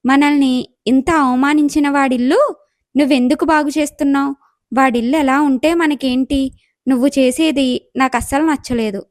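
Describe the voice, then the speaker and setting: A woman acts out a young, impatient goddess from a storybook tale. She questions and complains in a very high voice, recorded close and dry. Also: steady tone, normal speed, a little mellow, dry recording, lightly acted. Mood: indignant. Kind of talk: acted moral story dialogue.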